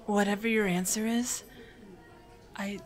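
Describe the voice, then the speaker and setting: A nervous, lovestruck teenage boy from an anime ASMR roleplay, voiced by a woman. He talks slowly and shyly, hesitating and stammering over his words. The delivery is mumbled and unsure, at a middling pitch for a female voice. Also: fairly even intonation, faint music behind, close to an everyday voice. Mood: anxious, awkward.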